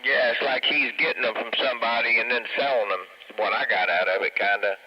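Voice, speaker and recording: A man's voice: mid-range voice, fairly even intonation, a little fast. Guy chatting on cb radio, lots of static noise, dull lo-fi sound. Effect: CB radio transmission. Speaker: CB radio operator. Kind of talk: casual two-way radio chat. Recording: muffled, noisy CB radio transmission.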